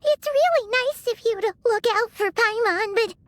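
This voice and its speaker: A woman voicing a small, childlike, earnest fairy companion with a squeaky voice in a video game cutscene. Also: unhurried. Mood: weak, reluctant. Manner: refers to self in third person, earnest. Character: small childlike fairy companion, chirpy, loyal, talks in third person. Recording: music behind.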